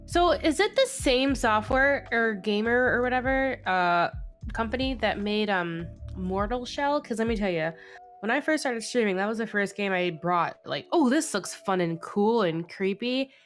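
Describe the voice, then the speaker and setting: A woman's voice: mid-range voice, lively intonation, moderate pace, faint music behind. Chatty sniffly woman streamer. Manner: chatty, laughing, swears freely. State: sniffly from a head cold. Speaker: live stream host. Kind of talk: casual chat while drawing.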